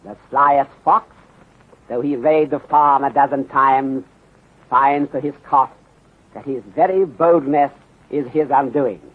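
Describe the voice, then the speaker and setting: An actor in a 1930s radio drama playing a calm, methodical detective inspector with a put-on Chinese accent and formal, clipped sentences. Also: male voice, medium pitch. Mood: sage, reflective. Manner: measured, formal, clipped sentences without articles. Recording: muffled, phone-like 1930s radio recording.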